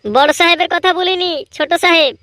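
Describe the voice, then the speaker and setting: A woman's voice: very high-pitched, a little fast, sharp tone, dry recording. A woman voicing a boastful young village lad in a comedy cartoon, with colloquial, argumentative speech. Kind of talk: Bengali comedy cartoon voice acting.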